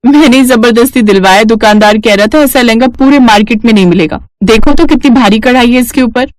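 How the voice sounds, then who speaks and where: A woman's voice: a little high, fairly quick, no echo, close to an everyday voice. A woman playing a practical, chiding elder sister-in-law in a cartoon family story. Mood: reproachful.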